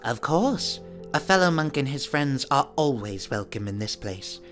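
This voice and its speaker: Male actor as gracious monk master of a shabby space temple, mid-pitched, wry, music underneath. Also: very animated, unhurried, fairly bright tone. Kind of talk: amateur sci-fi audio play acting.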